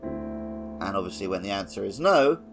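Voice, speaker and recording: Male podcast co-host, fairly low pitch, casual conversational read over faint music. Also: sing-song, moderate pace. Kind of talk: casual video game discussion.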